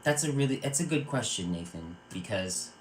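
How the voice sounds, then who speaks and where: Man, deep low voice, earnest, soothing, restarts phrases, faint background noise. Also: moderate pace. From Virginia, USA. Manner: soothing, calms viewers with repeated "okay". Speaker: psychic circle host. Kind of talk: live-stream spiritual talk answering chat.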